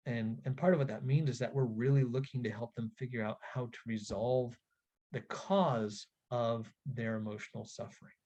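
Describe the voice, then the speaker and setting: Male webinar presenter, husky and mellow, earnest and deliberate, instructing parents. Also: mid-range voice. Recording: dull, lo-fi webinar audio.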